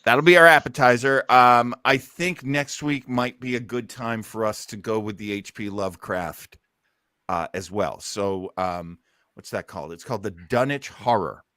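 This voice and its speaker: Male show host, bright and keen, chatting casually and enthusiastically, recorded dry. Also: fairly low pitch, normal speed, no echo. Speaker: host of an old-time radio listening show. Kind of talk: casual hosting and discussion.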